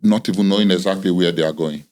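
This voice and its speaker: A very low male voice, hosting a football podcast. He speaks in a measured way, building long reflective questions. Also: darkish tone. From Nigeria. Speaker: podcast host.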